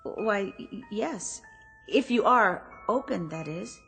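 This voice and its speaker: An actress playing an uneasy but polite department store shopper in a radio drama, hesitant, questioning and easily flustered. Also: female voice, medium pitch, animated, takes it slowly, gravelly, a bit bright, breathy edge, faint music behind, heavily acted. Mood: flustered, wary.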